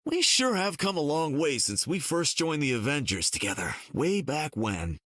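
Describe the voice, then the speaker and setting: Wisecracking superhero archer, swooping intonation. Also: male voice, on the high side, sing-song, moderate pace, fairly bright tone, no echo, clean studio sound. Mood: wry. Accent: American. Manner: wry, casual American banter. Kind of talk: video game dialogue.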